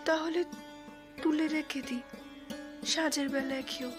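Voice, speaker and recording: A woman's voice: high-pitched, steady tone, a little slow, music in the background. A woman playing a dutiful, anxious ten-year-old village girl in an audio drama, earnest and plaintive. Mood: gentle, concerned.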